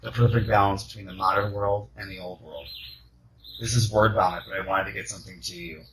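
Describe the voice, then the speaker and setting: A man with a fairly low voice rambles through a casual voice message. He is candid and dreamy, drifting from thought to thought. A faint hiss runs underneath. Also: a little fast, fairly bright tone, poor audio.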